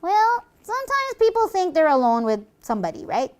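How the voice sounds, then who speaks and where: A gleeful, boastful devil from a children's puppet show, acted out by a woman. The voice sits high and leaps around in pitch. It chats theatrically, like a sly tempter showing off its mischief. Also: huge pitch swings, a little fast, darkish tone, heavily acted. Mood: sly bragging. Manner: theatrical, chatty, sly bragging.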